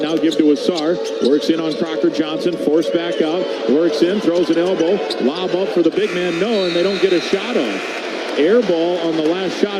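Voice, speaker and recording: A man calling live basketball play-by-play on the radio, over arena noise. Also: fairly high pitch. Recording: noisy broadcast with arena ambience.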